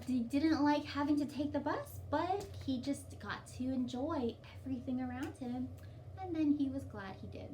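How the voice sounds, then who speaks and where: High-voiced, unhurried woman storytime presenter. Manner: simple, repetitive, child-directed explaining. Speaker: children's library storytime presenter. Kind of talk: storybook read-aloud and singalong instruction for young children. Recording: distant mic, light background noise.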